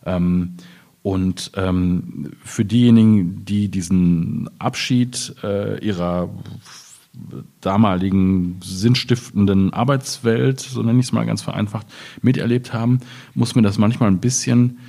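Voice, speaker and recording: Low, gravelly man, thoughtful and hesitant. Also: fairly even intonation, mellow. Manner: hesitant, deliberate, searching for words. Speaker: author in panel discussion. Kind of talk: reflective literary discussion.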